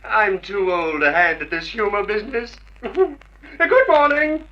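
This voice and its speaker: An actor playing a jovial, condescending husband who loves practical jokes, in a theatrical, chuckling 1950s radio drama style. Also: male voice, high-pitched, lively intonation, a little slow, slight background noise. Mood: dismissive. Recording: muffled vintage radio recording.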